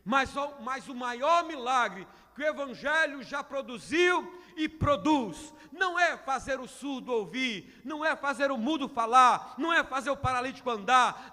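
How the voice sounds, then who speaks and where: A man pastor preaching a sermon to his congregation, building to loud emphatic peaks. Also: very high voice. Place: church hall. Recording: long church reverb.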